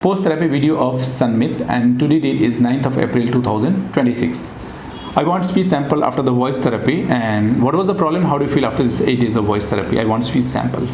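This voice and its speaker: A man, a voice therapist who asks clients brisk, formal questions in a clinic room. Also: medium pitch, animated, darkish tone. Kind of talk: clinical interview and appeal to viewers. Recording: echoey room, faint hiss.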